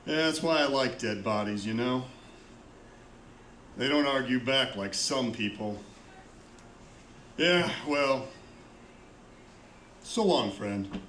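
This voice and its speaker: Radio play: lonely coroner, slow, wry, medium pitch, echoey. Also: male voice, expressive, fairly bright tone. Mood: wry, musing. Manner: idle, dry, talking to himself. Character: lonely, musing coroner who chats to dead bodies. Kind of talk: radio drama acting. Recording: echoey room with faint noise.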